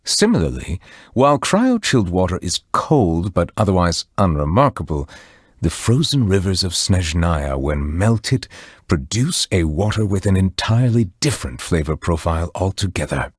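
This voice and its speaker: A male voice actor playing a solemn, refined judge of otherworldly nature in a video game, speaking in a formal, measured, eloquent manner. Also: low-pitched, sing-song, husky, no room sound, clean studio sound, exaggerated voice. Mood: refined, discerning. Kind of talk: scripted game character voice lines.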